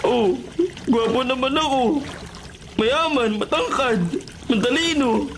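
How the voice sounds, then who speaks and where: A man's voice: extremely high pitch, slow, a little hiss or hum, exaggerated voice. An actor playing a drunk, lovesick, melodramatic young man in a radio drama, slurred and whiny. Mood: whiny, self-pitying. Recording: loud music behind the voice.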